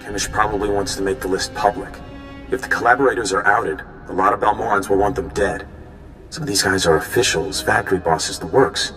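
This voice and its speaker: An idealistic young resistance mole talks earnestly and warmly in a lowish voice. He sounds friendly and sincere. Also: fairly even intonation, brisk, faint music behind.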